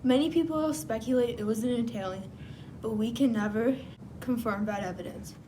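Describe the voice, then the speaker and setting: A woman reading a scripted news report as an amateur reporter. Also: normal speed. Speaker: news reporter in a student history project. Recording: noisy, with low rumble.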